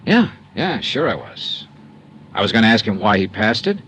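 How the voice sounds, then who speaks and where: An actor playing a tough, wry insurance investigator in a 1950s radio drama, clipped and probing. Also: male voice, fairly low pitch, big pitch swings, moderate pace, fairly bright tone, slight background noise. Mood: dry, defiant. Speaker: lead actor and narrator.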